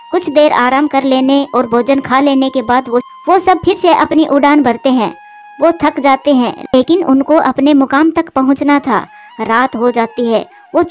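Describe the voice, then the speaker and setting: A woman reads a scripted children's cartoon story as its narrator. Her voice is high, with faint music underneath.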